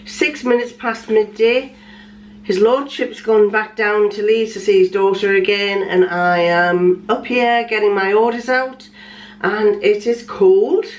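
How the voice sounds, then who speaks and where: A woman's voice: medium pitch, fairly even intonation, measured pace, low-quality recording. An older woman, chatting casually in a vlog, her voice throaty from a lingering cough, rambling with fillers and restarts. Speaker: vlogger. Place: small home workshop shed.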